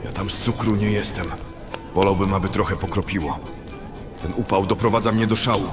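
A man's voice: sits low, fairly even intonation, fairly quick, lightly acted. Man acting a brash, foul-mouthed adventurer over music. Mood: grumbling. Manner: coarse, boastful, grumbling. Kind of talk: fantasy audio drama acting.

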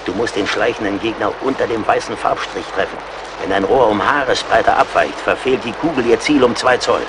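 Man acting a low-voiced, commanding frontier scout. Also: brisk, lightly acted. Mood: commanding, combative. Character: seasoned, relentless male frontier scout, grimly practical and loyal. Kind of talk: German radio play acting. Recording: clear battle and outdoor noise behind.

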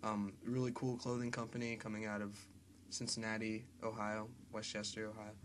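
A congested young man with a cold introduces his song demo in a quiet, mumbled, quick casual way, talking into a webcam in a small bedroom. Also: on the low side, monotone, slight background noise. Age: young adult. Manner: quiet, mumbled, fast and filler-heavy talk. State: congested with a cold and sore throat. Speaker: singer-songwriter. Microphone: webcam close up. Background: acoustic guitar.

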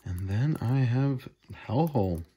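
A man's voice: medium pitch, expressive, unhurried, warm tone, a little hiss or hum, rough recording. A man hosting a casual unboxing vlog, enthusiastic and full of small fillers as he goes through his purchases. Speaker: video host. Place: indoors at a table, off camera.

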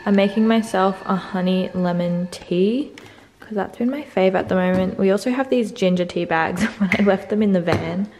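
A woman's voice: medium pitch, moderate pace, a little mellow, slight background noise. Bubbly young woman in an echoey room. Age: 20s. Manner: bubbly, giggly, chatty. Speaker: travel vlogger. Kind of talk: casual vlog chat to camera. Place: holiday rental house, indoors. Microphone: handheld camera at arm's length.

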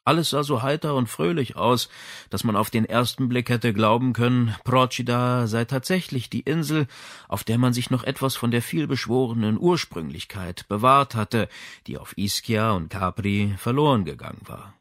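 A man's voice: mid-range voice, normal speed, fairly bright tone, no room sound, studio quality. A man reading a crime novel aloud in a scripted narration style. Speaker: audiobook narrator.

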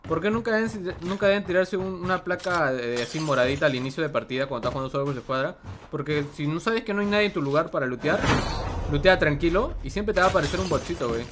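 A man's voice: on the high side, animated, fast talker, a bit bright. A man, streaming a game live, chatting casually and greeting viewers by name. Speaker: gaming streamer. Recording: background noise.

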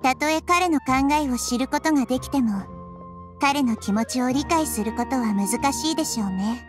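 A woman voicing a small, wise and curious girl deity in an anime game, speaking gently and thoughtfully. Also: high-pitched, over music, close to an everyday voice. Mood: pensive. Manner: gentle, thoughtful, measured feminine speech. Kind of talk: Japanese anime game voice acting.

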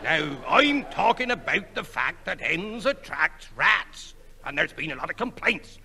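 An actor playing an officious, pompous park superintendent with a rustic West Country accent in a radio comedy. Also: male voice, fairly high pitch, big pitch swings, unhurried, gravelly, pushed and bright, a little hiss or hum, exaggerated voice. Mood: scolding. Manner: pompous, scolding. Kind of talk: 1960s radio comedy acting. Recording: reverberant old radio recording.

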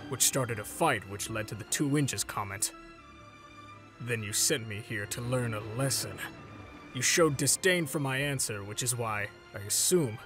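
A man voicing a big, earnest, stubborn heroic mentor in a comic dub, deliberate and declamatory, over music. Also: medium pitch, lightly acted. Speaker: voice actor in a fan comic dub. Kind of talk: dramatic comic dub acting. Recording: prominent music behind the voice.

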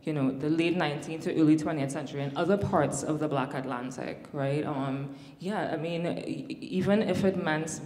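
A woman's voice: low voice, moderate pace, few pauses, a little mellow. A woman in her thirties with a Trinidadian accent, speaking as an academic panelist in a thoughtful way, with many fillers and restarts. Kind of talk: panel discussion. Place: large hall on stage. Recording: echoey hall with long reverb.

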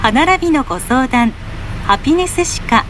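A woman's voice: high-pitched, lively intonation, normal speed, a bit bright, rough recording. A woman reading scripted advertisements as a bus announcer, polite and formal. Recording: faint vehicle noise behind.

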